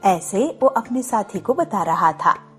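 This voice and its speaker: Lady telling a moral story, slow but very lively pitch, bit of music behind. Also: slightly rough. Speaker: narrator. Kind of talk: scripted moral story narration. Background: faint music.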